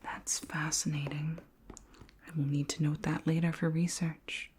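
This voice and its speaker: A woman voicing a curious, polite, imperious alien queen in a whispered ASMR roleplay, with slow, formal, deliberate questioning in a soft, breathy whisper. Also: deep voice, flat delivery, a little hiss or hum. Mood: curious, puzzled.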